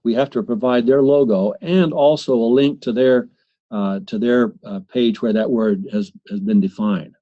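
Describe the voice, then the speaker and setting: A man presenting a software demo in a webinar, talking haltingly with many fillers and restarts. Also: medium pitch. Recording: slightly muffled webinar audio.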